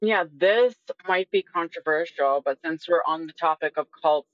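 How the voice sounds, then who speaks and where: A woman's voice: low voice, moderate pace, dry recording, muffled recording. A woman in her twenties speaking casually as a guest in a conversational interview. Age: 20s.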